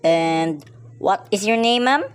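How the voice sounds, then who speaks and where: A woman's voice: mid-range voice, expressive, takes it slowly, soft background music. A woman playing an unimpressed, patronizing city police officer in a radio play, placating and dismissive. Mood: businesslike.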